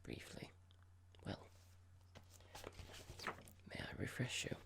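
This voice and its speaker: An ASMR performer playing a cold, condescending boss in a roleplay, speaking softly in a measured, formal, halting and passive-aggressive way. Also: lightly acted. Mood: cold, probing. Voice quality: breathy, creaky whisper. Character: cold condescending boss about to fire an employee. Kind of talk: ASMR roleplay, whispered and soft-spoken.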